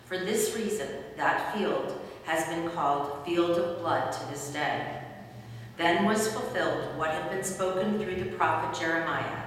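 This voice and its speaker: Long reverb, faint noise, woman narrator, formal, lowish voice, scripted scripture reading. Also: unhurried. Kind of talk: scripted dramatic Bible reading. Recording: distant, very echoey hall, faint noise.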